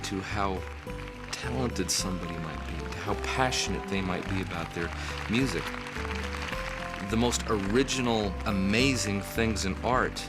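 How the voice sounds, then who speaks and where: A man's voice: fairly low pitch, measured pace. A man answering interview questions as a show's founder and host, in an earnest, emphatic manner. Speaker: show creator and host. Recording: prominent guitar music behind. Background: plucked guitar music.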